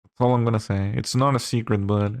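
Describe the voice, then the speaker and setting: A man's voice: lowish voice, fairly even intonation, fast, clear, resonant tone, dry recording, clean studio sound. A man from Chile with a Chilean Spanish accent, a native Spanish speaker chatting casually on a livestream, musing aloud while he works and answers chat. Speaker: streamer.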